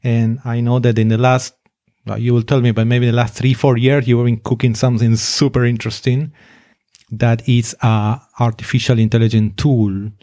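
A man's voice: medium pitch, level tone, moderate pace, mellow, dry recording. Encouraging male host, dry sound. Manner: encouraging, short affirmations. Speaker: interview host. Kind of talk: casual interview talk.

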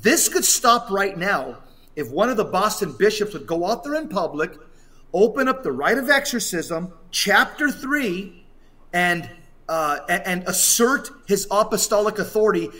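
Higher-pitched man, emphatic religious commentator. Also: animated, unhurried, sharp tone. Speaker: podcast guest commentator. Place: hotel room, on a remote connection.

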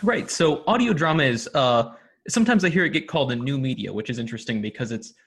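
A man's voice: mid-range voice, animated, fast talker, a bit bright, slightly rough. A man with an American accent speaking casually as an interview guest, explaining things in an articulate way. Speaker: podcast guest, audio drama producer.

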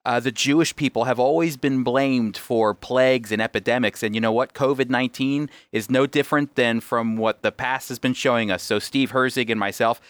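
A man's voice: mid-range voice, fairly even intonation, normal speed, few pauses, fairly bright tone, clean tone, no room sound. A man with an American accent teaching on a radio program in an emphatic, explanatory way. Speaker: radio host and Bible teacher.

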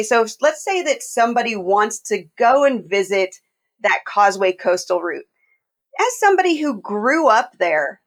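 A woman's voice: mid-range voice, fairly bright tone. A woman hosting a podcast interview, enthusiastic and asking open questions. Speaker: podcast host. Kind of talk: casual interview.

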